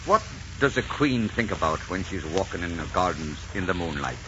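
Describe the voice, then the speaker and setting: An actor playing a bold, loyal Scottish earl, speaking with ardent, declamatory defiance in 1940s radio style. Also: male voice, low voice, expressive, moderate pace. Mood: earnest, courtly. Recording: hissy, dull 1940s radio recording.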